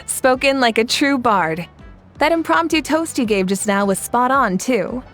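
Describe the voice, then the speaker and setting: A woman's voice: high voice, animated, takes it slowly, a bit bright, faint music behind, heavily acted. A woman playing a hearty, friendly tribeswoman in a video game, talking in a breezy, good-natured, familiar way. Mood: jovial, admiring.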